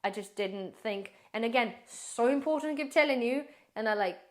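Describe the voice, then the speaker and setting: Chatty woman vlogger with a slightly higher voice. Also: moderate pace. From based in the UK. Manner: chatty and rambling, addresses viewers as guys. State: slightly hoarse, losing her voice. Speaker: prepper vlogger. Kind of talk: casual grocery haul vlog.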